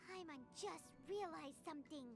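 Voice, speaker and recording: A woman voicing a small, excitable, childlike fairy companion in a video game, with a squeaky, fussy, exclamatory delivery. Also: moderate pace, vocal fry. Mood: puzzled. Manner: exclamatory, fussy, speaks of self in third person. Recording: game audio under loud music. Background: game music.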